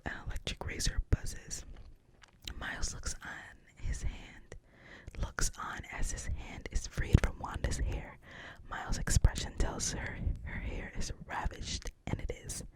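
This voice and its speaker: A narrator reads stage directions aloud, entirely in a soft, breathy whisper. The delivery stays even, hushed and close, like a bedtime read-through. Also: measured pace, poor audio. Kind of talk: whispered screenplay reading.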